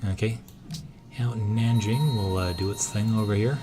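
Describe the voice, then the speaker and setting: A hoarse man casually thinking aloud, with many pauses and filler sounds, as he narrates his moves in a strategy game. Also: on the low side, music in the background. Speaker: let's-play gamer.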